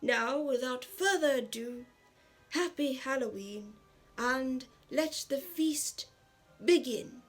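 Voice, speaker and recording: A woman's voice: sits high, takes it slowly, faint music behind. Woman doing a ceremonious elderly headmaster. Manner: formal, ceremonious, stretched-out announcing. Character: grand, elderly male headmaster addressing a feast.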